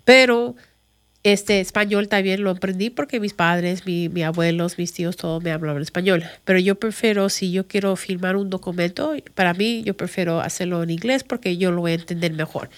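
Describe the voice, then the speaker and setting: A woman's voice: fairly low pitch, steady tone, moderate pace. A woman from Chicago with an American accent, a native English speaker, giving an informal, conversational explainer as a real estate agent and mixing in English words and phrases. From Chicago, United States. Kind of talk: informal explainer talk to viewers.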